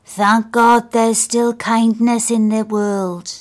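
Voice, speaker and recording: A woman voicing a stubborn elderly man with a bad back who talks gruffly and insists on his own way. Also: fairly high pitch, flat delivery, slow, pitched above the performer's own voice. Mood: insistent. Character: blunt elderly male bus-stop regular with a bad back.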